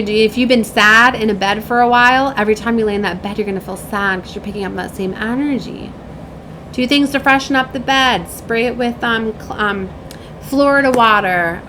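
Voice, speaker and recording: Higher-pitched woman rambling effusively, noisy recording. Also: fairly bright tone. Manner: effusive, rambling, often seeking agreement with tag questions. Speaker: spiritual reader and self-described shaman. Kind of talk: casual informal spiritual talk to viewers.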